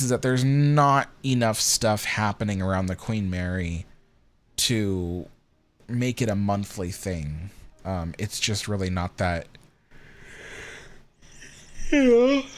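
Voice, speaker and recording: A man in his thirties with a somewhat low voice talks casually on a livestream. He rambles, restarts his sentences and fills the gaps with ums. He sounds tired and yawns now and then. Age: 30s. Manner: rambling, reads viewer comments aloud, many ums. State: tired, yawning. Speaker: livestream host.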